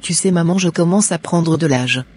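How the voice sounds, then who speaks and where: Earnest unmarried daughter in her twenties, played by a woman: husky, devout, formal cartoon delivery. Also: lowish voice, normal speed, a little mellow. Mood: sad, worried. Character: devout, anxious 27-year-old unmarried daughter. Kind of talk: scripted cartoon dialogue.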